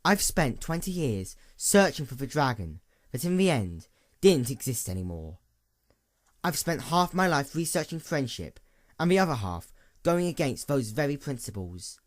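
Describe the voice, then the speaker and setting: A man voicing a weary, bitter female unicorn in a story, with a quiet, measured murmur. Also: mid-range voice, big pitch swings, no room sound, studio quality, close to an everyday voice. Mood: resigned.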